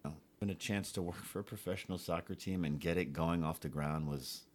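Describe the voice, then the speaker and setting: A man's voice: low voice, fast, a little hiss or hum. A man, telling his life story casually as a podcast guest. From Geneva, Switzerland and United States.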